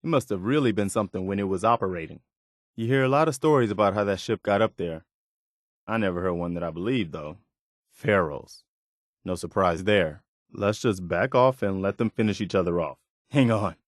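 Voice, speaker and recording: A weary but principled militia soldier from a post-apocalyptic video game, played by a male actor. His voice is on the low side and recorded dry and close. He talks sincerely and plainly, like a loyal companion on the road. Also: brisk, dry recording, lightly acted. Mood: watchful, matter-of-fact. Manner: sincere, plain-spoken, conversational.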